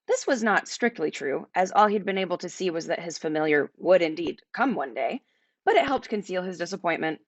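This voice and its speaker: Animated woman reading a children's fantasy story. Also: fairly low pitch, brisk, dry recording, dull audio. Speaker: author reading own book aloud. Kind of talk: animated children's audiobook narration.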